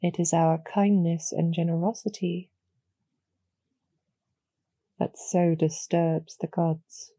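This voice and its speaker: A woman playing a kind, calm demon general in a roleplay audio drama, speaking in a measured, formal and gently persuasive way. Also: on the low side, dry recording. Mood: earnest, calm.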